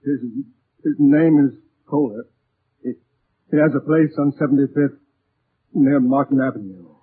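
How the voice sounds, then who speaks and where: An actor playing a frightened, guilty swindler, stammering and pleading in a 1940s radio drama style. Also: male voice, mid-range voice, steady tone, measured pace, breathy edge. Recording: muffled, narrow-band 1940s radio recording.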